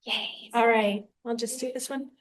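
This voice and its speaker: Woman speaker, fairly high soft voice, friendly lecture to a room, handheld mic. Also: steady tone, measured pace, a little hiss or hum. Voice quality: soft quiet voice. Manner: apologetic and friendly, laughs often. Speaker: educational speaker. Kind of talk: live lecture to a seated audience. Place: meeting room with audience. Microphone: handheld mic after a lapel clip would not stay.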